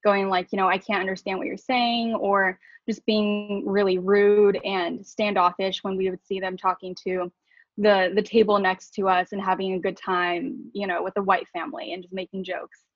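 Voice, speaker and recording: A woman's voice: mid-range voice, little pitch movement, normal speed, no echo. A woman co-hosting a podcast, talking candidly and reflectively, with frequent laughs. She grew up in Southern California speaking Mandarin and English. Her voice sounds dry and close, with a slightly dull, lo-fi tone. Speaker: podcast co-host. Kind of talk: casual conversation.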